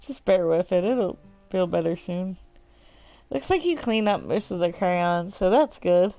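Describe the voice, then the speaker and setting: A woman's voice: on the low side, expressive, measured pace, warm tone, dry recording, lo-fi sound, faint music behind, voice pushed down. A woman voicing a caring, bashful teenage best friend in a visual novel read-aloud, hesitant and understated. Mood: reassuring.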